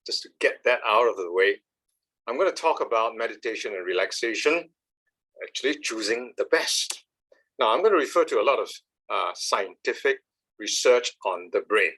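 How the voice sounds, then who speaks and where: Animated older man, husky voice. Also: medium pitch. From Malaysia. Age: 70s. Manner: animated, emphatic, frequent "all right?" and "uh". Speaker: speaker on meditation. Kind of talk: talk with slides.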